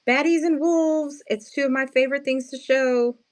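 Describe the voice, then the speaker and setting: A woman with a slightly hoarse, just-woken morning voice, chatting casually and playfully as she sells handmade crafts on a livestream, often addressing viewers and poking fun at herself. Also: high-pitched, steady tone, moderate pace. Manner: self-deprecating, playful slangy wording. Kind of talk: casual live sales chat with viewers.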